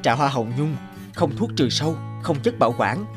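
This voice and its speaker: A man's voice: mid-range voice, sing-song, moderate pace, lightly acted. A man acting an earnest young farmer son, music behind. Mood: discouraged, explaining. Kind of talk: animated cartoon dialogue. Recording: background music under speech.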